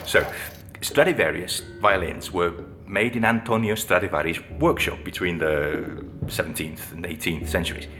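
Low-voiced, thoughtful restorer played by a man. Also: music in the background, natural voice. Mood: thoughtful, enthusiastic. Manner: unhurried, explanatory, conversational with hesitations. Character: friendly, thoughtful male music-box restorer, fascinated by mysteries. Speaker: actor playing an interviewee. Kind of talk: naturalistic audio drama dialogue.

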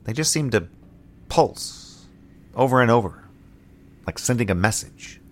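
A man's voice: medium pitch, expressive, a little slow, close to an everyday voice. An actor playing a weary, wry, heartsick man in a space colony, in a dry, understated audio drama delivery. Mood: wistful. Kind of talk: scripted audio drama acting.

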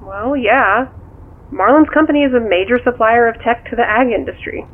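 A woman's voice: fairly high pitch, moderate pace. A woman acting in an audio drama as a level-headed, inquisitive confidante, talking conversationally through a phone filter. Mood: curious. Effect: phone call filter. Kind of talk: naturalistic audio drama dialogue. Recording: tinny phone line, music bed.

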